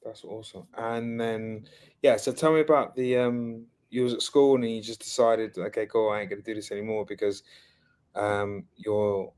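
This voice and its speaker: A man's voice: fairly low pitch, steady tone, normal speed, darkish tone, smooth. A man interviewing a guest in casual chat, saying "okay, cool" often and repeating phrases.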